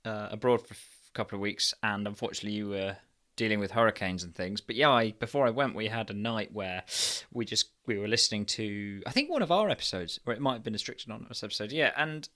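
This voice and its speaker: A man's voice: on the low side, fast, sharp tone. A British man co-hosting a podcast in casual chat, rambling and interrupting himself as he goes. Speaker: podcast co-host.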